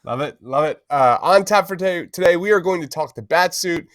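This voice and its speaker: Upbeat male podcast host, fairly high voice. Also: expressive, brisk, a bit bright, no echo, studio quality. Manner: enthusiastic, informal, slangy, peppers talk with "man" and "dude". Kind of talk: casual pop-culture podcast chat.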